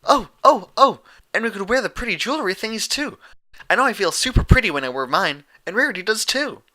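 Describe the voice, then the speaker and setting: An excitable girl pony from a storybook, acted by a man, chattering in a high, swooping voice. She gushes breathlessly, with a very bright, pushed tone. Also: fairly quick. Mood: excited. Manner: gushing, breathless rush of words.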